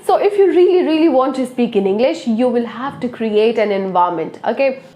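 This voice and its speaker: Indian-accented woman teacher, Hindi native, high-ish voice, coaxing and earnest, echoey room. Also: lively intonation, brisk, warm tone. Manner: earnest, coaxing, frequent "okay?" checks. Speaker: English teacher. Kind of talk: motivational video lesson.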